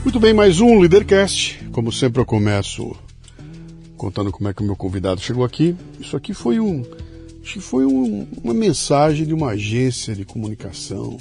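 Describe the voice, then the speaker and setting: A Brazilian man from interior São Paulo hosting a podcast interview, telling stories in an animated, emphatic way. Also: mid-range voice, a little fast, creaky, faint music behind. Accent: São Paulo interior Brazilian Portuguese. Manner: animated storyteller, emphatic asides. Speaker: podcast host. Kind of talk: conversational interview.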